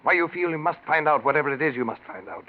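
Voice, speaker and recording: An actor playing an earnest, exasperated and protective suitor in a 1940s radio mystery, emphatic and theatrical. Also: male voice, fairly high pitch, quick pace, lightly acted. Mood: urgent pleading. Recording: tinny, noisy 1940s radio recording.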